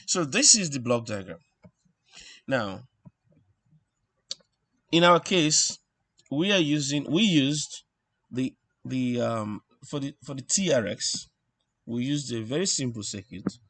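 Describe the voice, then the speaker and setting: A man giving an informal technical lesson as he draws, explaining step by step in a halting way and thinking aloud. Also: medium pitch, lively intonation, fairly bright tone, no echo. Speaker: instructor presenting an electronics tutorial. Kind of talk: informal technical lesson, talking while drawing.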